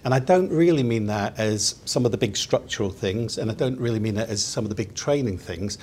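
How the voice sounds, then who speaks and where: Man, lower voice, panel discussion, measured and thoughtful. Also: darkish tone. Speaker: round table panelist.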